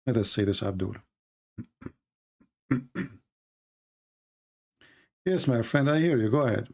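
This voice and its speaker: Casting: male live-stream host, English as a second language, fry-laden mellow voice, teasing debater who fires provocative questions. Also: mid-range voice, lively intonation, slow. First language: not English. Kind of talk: unscripted live call-in debate.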